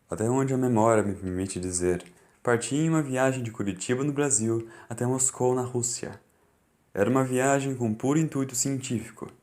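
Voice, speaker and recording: A Brazilian man narrating a scripted fan audiobook in a solemn, deliberate, dramatic way. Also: medium pitch, darkish tone.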